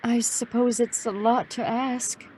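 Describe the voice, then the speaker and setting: A woman's voice: a little high, steady tone, unhurried, dark tone, breathy edge, poor audio. An actress playing a mysterious, wistful married woman in a noir radio drama, speaking in an earnest, restrained way. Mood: wistful, confessional. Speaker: actor in an audio drama.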